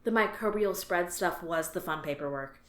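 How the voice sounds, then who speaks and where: A woman's voice: fairly low pitch, normal speed, fairly bright tone, close to an everyday voice. A woman voicing a jaded female alien office worker who talks about dull work in a dry, resigned, matter-of-fact way. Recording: roomy echo.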